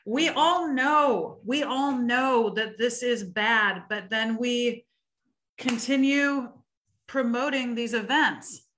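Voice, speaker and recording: A woman's voice: a little high, slow pace, a bit bright, poor audio. Earnest woman podcast co-host. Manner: earnest, questioning, with many "like" fillers. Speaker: podcast co-host and music educator. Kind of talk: casual podcast chat.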